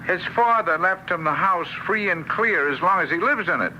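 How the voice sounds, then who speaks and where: A man's voice: high-pitched, expressive, normal speed, pushed and bright, dull audio, heavily acted. A man playing a mild, anxious little ghost in a radio drama, hesitant and apologetic in manner. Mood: meek, fretful. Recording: noisy old radio recording.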